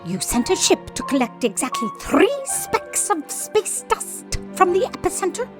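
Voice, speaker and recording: A woman's voice: very high voice, very animated, measured pace, over music, exaggerated voice. A woman playing a solemn, pompous mystical seer in a comedic audio drama, speaking in a portentous, ceremonial way. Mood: solemn, instructing.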